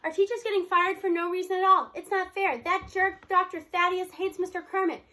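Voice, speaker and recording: A woman's voice: extremely high pitch, flat delivery, a little fast, pushed and bright, pitched above the performer's own voice. A woman voicing a hot-tempered, sarcastic middle-school boy in a children's storybook, protesting indignantly.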